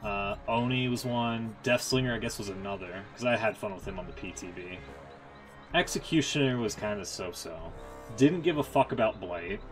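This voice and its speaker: A man's voice: lowish voice, moderate pace, music in the background, low-quality recording. A man hosting a game stream with casual, opinionated, rambling commentary and some swearing.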